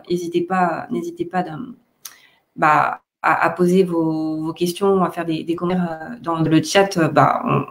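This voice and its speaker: A woman hosting a casual online interview, tentative and repeating words as she phrases her questions. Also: on the low side. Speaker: host of an online live session.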